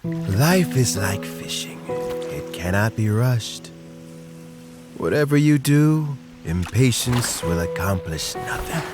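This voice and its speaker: A man's voice: lowish voice, animated, music in the background. A man playing a kindly, patient old mentor in a video game, with a philosophical, fatherly manner. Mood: wise, reflective. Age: older. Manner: slow, philosophical.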